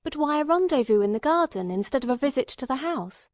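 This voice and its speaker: A woman voicing a persistent gentleman investigator in an audiobook, questioning formally, courteously and insistently. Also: high voice, animated, fast talker, dry recording, studio quality, pitched above the performer's own voice. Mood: pressing. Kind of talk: dramatic audiobook character reading.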